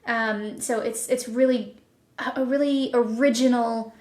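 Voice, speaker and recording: A woman speaking as an interview guest and producer, pitching a project conversationally with enthusiasm and thoughtful pauses. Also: steady tone, darkish tone. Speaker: podcast guest, audio drama producer. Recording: echoey, roomy sound.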